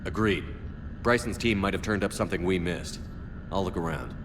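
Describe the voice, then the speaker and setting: A man playing a brisk, deep-voiced commander. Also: in a large hall, background noise, natural voice. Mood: determined. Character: brisk male military commander, determined. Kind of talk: video game dialogue.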